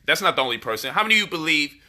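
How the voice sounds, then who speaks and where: A man's voice: fairly high pitch, lively intonation, very fast, pushed and bright. A man hosting a livestream, teasing his partner in playful banter and laughing often. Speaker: host.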